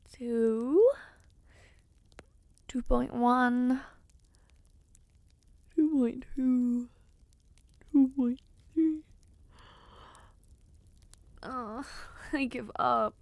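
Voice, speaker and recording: Young noblewoman, acted by a woman, spirited, playfully impatient, genteel, expressive, slow delivery, dry sound, audio roleplay. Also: sits high, vocal fry, dry recording, heavily acted. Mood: bored, whiny impatience. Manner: expressive, sighing, genteel wording with teasing asides. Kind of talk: audio roleplay acting, addressing the listener directly.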